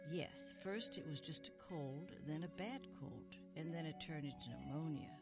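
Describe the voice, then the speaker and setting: A woman playing a kindly elderly retired librarian in an audio drama, reminiscing gently and sorrowfully. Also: low voice, normal speed. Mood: wistful, sad. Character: kindly, wistful elderly female retired small-town librarian. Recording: music under the voice. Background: music.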